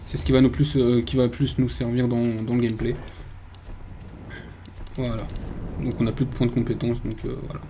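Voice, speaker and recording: A man's voice: medium pitch, level tone, normal speed, long pauses, mellow. A man giving casual video game commentary, thinking aloud in a hesitant way. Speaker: video game let's player. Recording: faint background noise.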